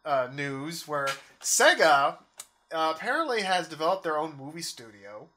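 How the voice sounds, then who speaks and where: Male podcast co-host, fairly high and very bright voice, opinionated, conversational. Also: sing-song, moderate pace. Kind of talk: casual chat about game movies.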